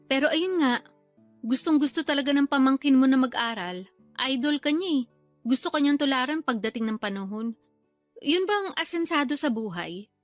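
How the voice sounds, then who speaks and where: A woman's voice: high voice, lively intonation, moderate pace, no room sound, soft background music. A woman playing a pushy, talkative middle-aged mother in a radio drama, coaxing and nagging in colloquial speech. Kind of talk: Filipino radio drama acting.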